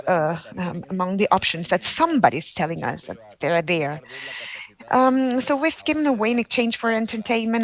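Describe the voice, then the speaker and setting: A woman who is not a native English speaker, giving a formal speech at an award ceremony, halting often with fillers and restarts. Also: medium pitch, rough recording. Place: ceremony hall.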